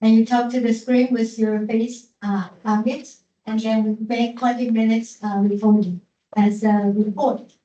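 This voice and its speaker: A woman's voice: on the high side, flat delivery, a little slow, warm tone. A woman teacher giving classroom instructions with many fillers and repeated words. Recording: echoey classroom from far off, dull.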